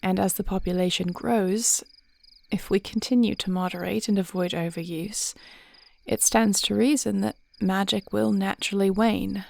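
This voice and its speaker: A regal, thoughtful dragon queen acted by a woman speaks privately to her beloved in a fantasy roleplay. Her voice sits at a middling height with noticeable creak. The phrasing is formal, reflective and tender. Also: medium pitch, steady tone, moderate pace, a little mellow, close to an everyday voice. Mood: reflective, explaining. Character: thoughtful, devoted dragon queen wife planning the future. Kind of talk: intimate fantasy audio roleplay acting.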